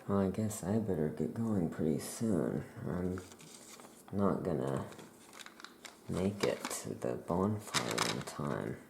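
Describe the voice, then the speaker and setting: A soft-spoken ASMR performer playing a laid-back witch shopkeeper, murmuring in an unhurried way while writing. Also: low voice, lively intonation, gravelly. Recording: roomy echo, faint background noise.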